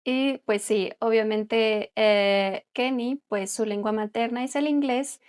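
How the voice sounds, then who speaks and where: A Mexican woman co-hosting a relaxed podcast as a Spanish teacher. Her voice sits a little higher than most women's, and she explains things at length in a friendly, chatty way. Small laughs often slip in between her points. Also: slow, clean tone. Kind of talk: casual conversational podcast chat.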